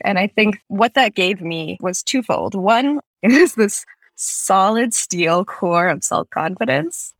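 A woman with an American accent, born in Russia, talking casually as a writer guest, witty with frequent laughs. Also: dry recording. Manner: witty, laughs often, lively jumps in pitch. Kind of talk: casual interview chat. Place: San Francisco.

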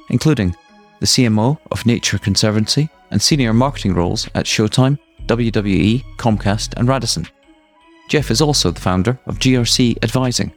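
A man hosting a podcast, who reads his intro smoothly and chats with small restarts. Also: mid-range voice. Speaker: podcast host. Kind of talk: interview podcast with scripted intro. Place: home in Brooklyn, remote call.

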